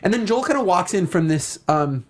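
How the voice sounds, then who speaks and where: A man's voice: high voice, expressive, a little fast. Enthusiastic man hosting a casual chat. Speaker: talk show host. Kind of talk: casual chat discussing a video game.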